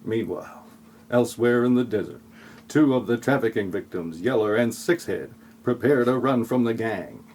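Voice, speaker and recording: A man's voice: medium pitch, normal speed, a little mellow. A man reading scripted comic narration for an audio play in a wry, flippant way.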